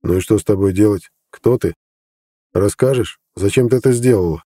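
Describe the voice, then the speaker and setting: A man reading an audiobook voicing a weary, calm young man who speaks quietly. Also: fairly low pitch, moderate pace, slightly rough.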